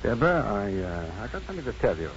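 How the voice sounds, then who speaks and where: An actor playing an assured, wry, kindly physician and professor in a 1950s radio drama, speaking in a measured, dryly witty, deliberate way. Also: male voice, on the low side, sing-song. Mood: gentle, careful. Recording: muffled, faintly noisy 1950s radio broadcast.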